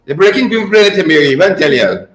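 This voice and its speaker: A man's voice: sits high, big pitch swings, fast talker, fairly bright tone, reverberant, soft background music. A man co-hosting a casual current-affairs chat, joking and laughing as he slips into dialect. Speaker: co-host.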